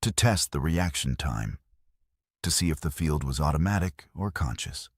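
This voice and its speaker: A man's voice: extremely low pitch, animated, normal speed, breathy edge, no room sound, studio quality. A man narrating an action audio novel in a dramatic storytelling style.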